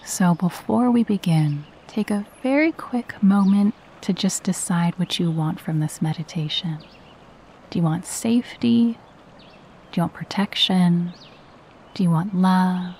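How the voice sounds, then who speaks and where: A woman guiding a meditation in a soft, breathy voice, with a gentle, soothing and unhurried delivery. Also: on the low side, a little hiss or hum. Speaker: meditation guide. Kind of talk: guided meditation with spoken affirmations.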